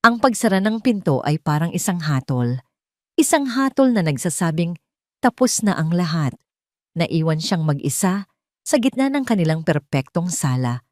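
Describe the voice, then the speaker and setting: Animated woman narrating drama, lowish voice. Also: dry recording, studio quality. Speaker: story narrator. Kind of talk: dramatic scripted narration of a love story.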